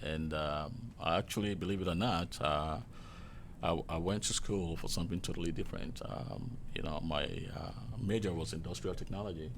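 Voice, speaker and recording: A man in his fifties with a Nigerian accent, a guest chef telling relaxed stories full of fillers. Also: low voice, moderate pace, gravelly, background noise. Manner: relaxed, chuckling anecdotes with many fillers. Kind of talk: casual interview storytelling.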